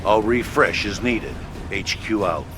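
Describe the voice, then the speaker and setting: A man playing a gruff, businesslike headquarters officer in a video game, giving terse and informal military briefings. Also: on the low side, animated, unhurried, very noisy. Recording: music behind the voice.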